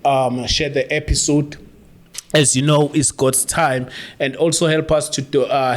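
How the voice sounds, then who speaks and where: Laughing South African podcast host. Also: male voice, mid-range voice. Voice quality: hype-man, laughs often, affectionate filler "man". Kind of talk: casual interview talk.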